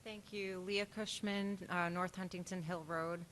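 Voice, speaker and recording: A woman's voice: on the low side, steady tone, unhurried, a bit bright. A woman giving public comment at a school board meeting, earnest and pointed, with frequent hesitations. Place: town meeting room. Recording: reverberant meeting room with faint noise.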